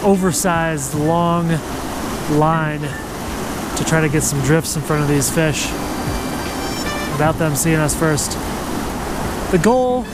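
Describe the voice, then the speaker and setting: A man giving casual, relaxed and appreciative commentary as a fly fishing vlogger outdoors. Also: a little high, takes it slowly, pauses often, heavy background noise. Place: outdoors by a rocky mountain stream. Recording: music over voice. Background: music, running stream water.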